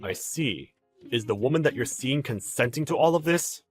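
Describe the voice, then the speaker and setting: A man playing a calm, polite, deferential employee in a dramatized story, speaking in a measured and formal way. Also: medium pitch, breathy edge, faint music behind. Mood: calm, puzzled. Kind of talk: dramatized story narration with character voices.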